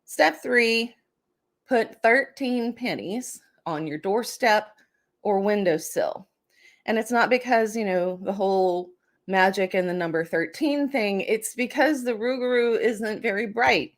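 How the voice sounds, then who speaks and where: A woman's voice: mid-range voice, a little slow. Wry, chatty woman telling folklore. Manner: chatty, wry, tongue-in-cheek asides. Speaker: host and storyteller. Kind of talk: casual storytelling of folklore.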